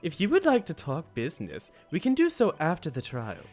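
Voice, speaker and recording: A man voicing a cold, formal hired killer from a video game, in a deliberate, pompous manner. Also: fairly high pitch, huge pitch swings, darkish tone. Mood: sly, formal. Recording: music behind the voice.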